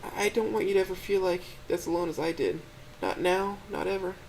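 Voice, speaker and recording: A man voicing a gentle young female dinosaur who stammers and quivers as she pleads tearfully. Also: high-pitched, fairly quick, vocal fry, slight background noise, voice pushed up, poor audio. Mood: soothing.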